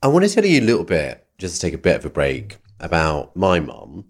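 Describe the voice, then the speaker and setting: A man hosting an interview, asking questions in a relaxed way and laughing easily. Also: sits low, brisk, slightly rough. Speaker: interview host. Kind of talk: casual interview chat.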